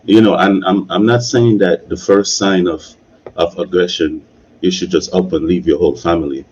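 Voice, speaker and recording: A man's voice: low voice, steady tone, normal speed, a little mellow, breathy edge, dull audio. A man casually giving opinions and advice in a live discussion, repeating himself for emphasis and often checking in with "you know". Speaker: video creator hosting a discussion.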